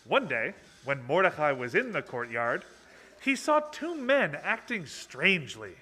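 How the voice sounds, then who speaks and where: Guy storyteller for a kids puppet show, takes it slow, bright lively voice. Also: on the high side, low-quality recording. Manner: theatrical, simple measured phrases. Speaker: storyteller, narrator. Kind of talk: children's puppet show narration. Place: social hall, live broadcast.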